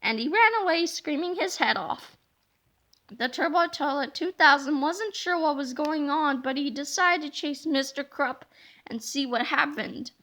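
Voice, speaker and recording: A woman storyteller reading a children's book aloud in an expressive, dramatic way. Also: high-pitched, a little slow.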